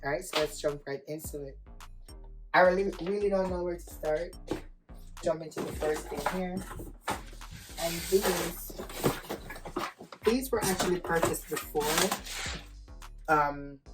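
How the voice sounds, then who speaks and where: Low-pitched woman vlogger, hoarse with a cold, chatty and casual, faint music under. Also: steady tone, a little slow, long pauses, low-quality recording. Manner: chatty, addresses viewers as guys. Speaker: home vlogger. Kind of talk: casual vlog chat.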